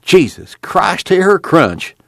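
A man voicing a blunt, rough-mouthed rural man in an audiobook, hungry and restless, with earthy, folksy talk. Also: sits high, very animated, slow, fairly bright tone, dry recording, clean studio sound, pitched above the performer's own voice, exaggerated voice.

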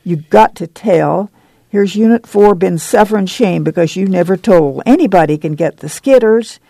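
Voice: medium pitch, normal speed, gravelly, dark tone, no room sound. A woman voicing a stern, bossy country committee chairwoman who scolds in commanding, folksy rural dialect in a story reading. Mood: firm, scolding.

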